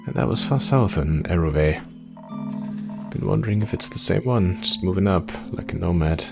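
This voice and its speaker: A man's voice: deep voice, lively intonation, moderate pace, gravelly, music in the background. A man voicing a blunt, joking adventurer in a fantasy game, breezy, chummy and teasing. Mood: matter-of-fact.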